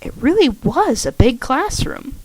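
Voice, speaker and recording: A woman's voice: high voice, sing-song, unhurried, darkish tone, husky, dry recording. A woman reading fan fiction aloud, a little shaky, on a home microphone. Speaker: fanfiction reader. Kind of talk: amateur audiobook narration.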